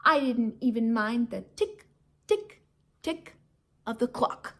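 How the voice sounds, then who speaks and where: A high-voiced woman narrates a children's book as a teacher would. She takes her time, with theatrical emphasis that draws young listeners in. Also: big pitch swings, slow. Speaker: teacher reading aloud to children. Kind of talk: expressive children's storybook narration.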